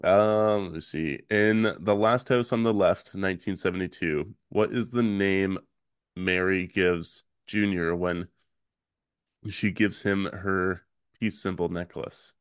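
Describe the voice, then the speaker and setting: A man hosting a podcast, his voice raspy and hoarse from a recent illness, reading trivia questions slowly in casual chat. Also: deep voice, no echo, studio quality. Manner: reads questions slowly, relaxed filler-heavy talk. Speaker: podcast host.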